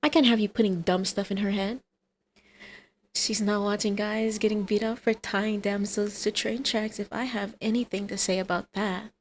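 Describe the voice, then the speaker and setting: A woman's voice: medium pitch, fairly even intonation, normal speed, slight background noise, lightly acted. A woman playing a flirty female villain and doting mother in an ASMR roleplay, with a teasing, coaxing, sardonic manner. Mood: teasing, insistent.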